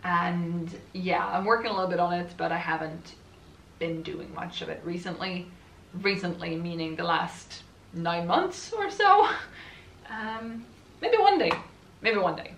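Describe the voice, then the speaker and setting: A woman's voice: fairly low pitch, unhurried, fairly bright tone, slight background noise. A woman in her twenties from Sweden, speaking English with a Swedish accent, chatting casually and laughing easily as she talks. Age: 20s. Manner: chatty, rambling, laughs often. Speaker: book vlogger. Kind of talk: casual chat while assembling furniture. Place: room at home. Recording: echoey room, camera at some distance.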